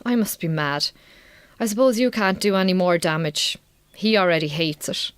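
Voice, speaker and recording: An actress as a wry, weary Irish farm wife in a radio drama. Her Irish accent comes with a very mobile, expressive pitch and a blunt, colloquial manner that has warmth under it. The recording is dry. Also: normal speed, smooth, dry recording, natural voice. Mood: weary, sceptical.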